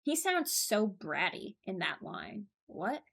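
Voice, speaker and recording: A woman's voice: mid-range voice, sing-song, slow, creaky, fairly bright tone, breathy edge. A woman hosting a video, giving casual, rambling commentary and hesitating as she thinks aloud. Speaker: video creator and host.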